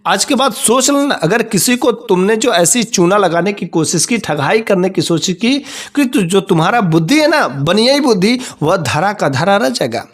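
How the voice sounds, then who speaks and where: A man's voice: high-pitched, lively intonation, normal speed. A man voicing a crafty, vengeful young farmer's son in a folk story, stubbornly repeating his demands. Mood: stern warning. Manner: insistent, repetitive demanding.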